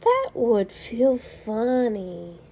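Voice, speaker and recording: Playful storybook boy, read by a woman, unhurried and expressive, slightly husky. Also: a little high, heavily acted. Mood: eager, imaginative. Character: playful young boys cooling off at a pool. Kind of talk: storybook dialogue read aloud.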